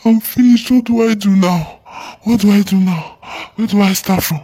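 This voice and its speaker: Man playing a husky, anguished young believer. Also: high voice, steady tone, dark tone. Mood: despairing. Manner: slow, anguished, repeating his phrases. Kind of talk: audio drama acting.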